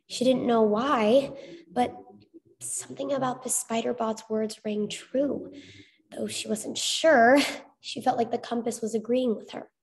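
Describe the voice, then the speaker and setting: A young adult woman reading a children's story aloud as expressive scripted narration. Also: fairly high pitch, measured pace, low-quality recording.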